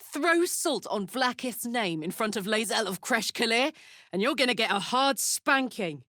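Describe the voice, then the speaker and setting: A woman's voice: on the high side, lively intonation, a little slow, bright, forceful tone, no echo. A woman voicing a brash, fiery horned warrior woman in a video game, talking bluntly and informally like a soldier, recorded dry. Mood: wry, mocking. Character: brash, fiery female devil-horned warrior with an infernal engine heart. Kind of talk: video game character voice lines.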